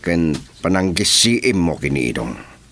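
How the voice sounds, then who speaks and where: Scheming boss played by a man, low voice, swings up and down a lot. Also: very animated, slow, a bit bright, slight background noise, full character voice. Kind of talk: Ilocano radio drama acting.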